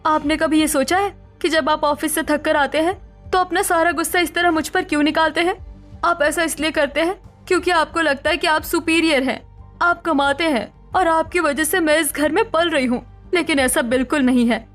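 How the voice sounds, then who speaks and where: A woman's voice: high voice, fairly even intonation, moderate pace, a bit bright, soft background music. A woman playing a defiant, indignant young daughter-in-law in a cartoon family drama, speaking assertively. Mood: angry. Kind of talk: Hindi cartoon story dialogue.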